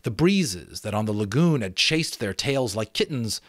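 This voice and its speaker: A man's voice: lowish voice, very animated, moderate pace, no echo, clean studio sound. A man narrating expressively in an audiobook style, as an amateur host with a casual touch.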